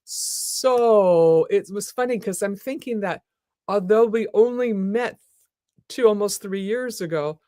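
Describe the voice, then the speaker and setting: A woman's voice: medium pitch, takes it slowly, mellow, no room sound, studio quality. A woman hosting a live talk show, effusive and enthusiastic as she chats with her guest. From United States. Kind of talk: live interview chat.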